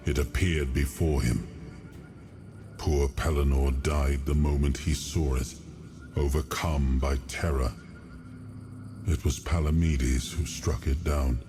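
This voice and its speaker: A grave male lord from a dark fantasy game. His voice is extremely low, and he speaks slowly and solemnly, like a storyteller of old legends, with music clearly playing behind him. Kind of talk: fantasy video game dialogue.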